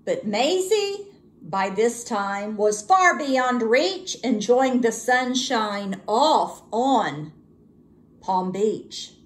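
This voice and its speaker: A woman reading a children's storybook aloud as a teacher would, with expressive, deliberate and dramatic phrasing. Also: a little high.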